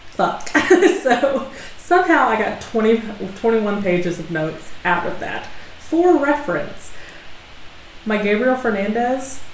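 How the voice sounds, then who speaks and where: A woman co-hosting a podcast, chatting and laughing often, with a slightly gravelly voice from allergies. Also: medium pitch. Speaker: podcast co-host. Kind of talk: casual chat. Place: dining room in a home. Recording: echoey room with hiss.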